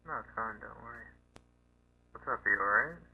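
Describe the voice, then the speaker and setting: A man's voice: on the low side, moderate pace, gravelly, slight background noise. An actor playing an obliging, slightly uneasy young man, casual and reassuring on a phone call, in naturalistic horror style.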